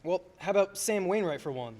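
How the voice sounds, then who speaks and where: A man's voice: high voice, lively intonation, a little fast, a little mellow. An actor playing a restless, good-hearted young man in a radio play, folksy, hesitant and wry. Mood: wry, evasive.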